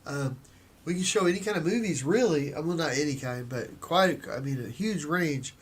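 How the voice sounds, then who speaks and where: A man's voice: fairly high pitch, normal speed, husky. A man with a stuffed-up nose co-hosting a livestream, talking casually with many restarts and easy laughs. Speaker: co-host of a livestream. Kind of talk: casual chat.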